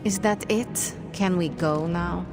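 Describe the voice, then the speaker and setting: An actress playing a flippant, snarky young heroine in a video game cutscene. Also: female voice, lowish voice, slow, music in the background. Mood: snide.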